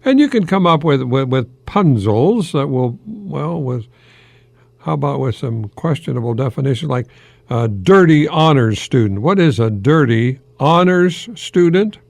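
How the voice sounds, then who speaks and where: An older American man hosting a folksy solo radio talk in a halting, rambling, easily amused manner. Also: medium pitch, expressive, creaky, a little mellow, dry recording. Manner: chuckles often at his own remarks, halting and rambling. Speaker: radio host, longtime English professor.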